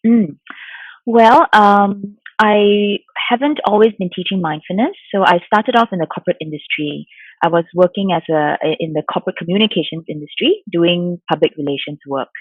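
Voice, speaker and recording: A woman from Singapore in her forties, a mindfulness coach answering interview questions in a soft, thoughtful way with many fillers. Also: on the low side, normal speed, no room sound. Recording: dull lo-fi sound, dry.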